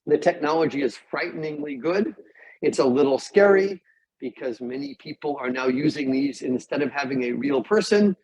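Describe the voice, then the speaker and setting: A man's voice: on the high side, animated, moderate pace, darkish tone, a little hiss or hum. A man who is a native English speaker, lecturing as a psychology professor in plain, short, emphatic sentences. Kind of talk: academic lecture and discussion. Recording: dull, muffled lo-fi audio.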